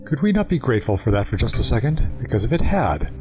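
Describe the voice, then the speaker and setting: A man's voice: medium pitch, sing-song, quick pace, darkish tone, faint music behind, lightly acted. An actor playing a sly, talkative male alien time traveller in an audio drama, with a wry, mocking, chatty manner. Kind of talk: full-cast audio drama acting.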